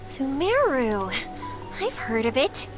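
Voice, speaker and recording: A woman's voice: high-pitched, very animated, slow, full character voice, poor audio. A woman voicing a bubbly, carefree young woman in a video game, with a breezy, friendly manner. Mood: musing. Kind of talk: English video game voice acting. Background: game music.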